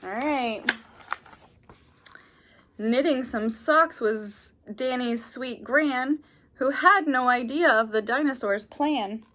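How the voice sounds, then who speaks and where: A woman reading a children's picture book aloud like a teacher, animated, with asides and questions to young listeners. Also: high-pitched, slow, pauses often.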